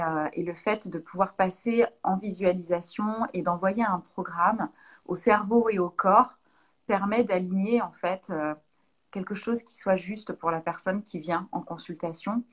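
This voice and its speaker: A French woman from Lyon in her thirties, a naturopath answering interview questions in a thoughtful way, with pauses and restarts. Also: medium pitch. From Lyon, France. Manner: thoughtful, many hesitations and restarts.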